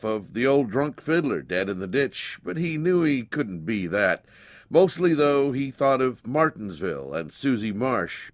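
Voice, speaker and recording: A male storyteller reads a folk tale with a lot of expression. His pitch rises and falls widely, and his delivery is folksy and theatrical. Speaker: storyteller, narrator. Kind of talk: dramatized scripted narration of a folk tale.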